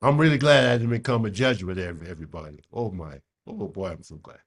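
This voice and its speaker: A man's voice: fairly low pitch, brisk, slightly rough. A man in his seventies with Japanese as his first language, giving a dharma talk and answering questions, emphatic, repeating words and checking in with "okay?". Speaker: Buddhist teacher.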